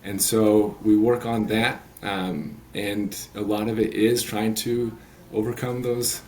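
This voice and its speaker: A man's voice: fairly low pitch, little pitch movement, takes it slowly, a little mellow, slight background noise. A man in his late thirties, a coach talking as a podcast guest, earnest and rambling with many fillers and restarts. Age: 30s. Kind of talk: podcast interview.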